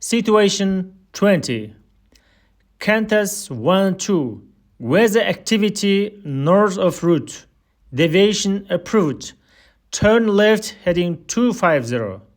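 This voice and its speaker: A man reading a scripted aviation listening drill as an instructor, giving air traffic control phrases with measured, deliberate enunciation. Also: high voice, expressive.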